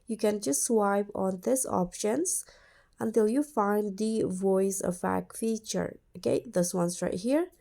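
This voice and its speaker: A woman's voice: medium pitch, takes it slowly, vocal fry, warm tone. A woman hosting a tutorial, narrating an app walkthrough step by step. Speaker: tutorial host.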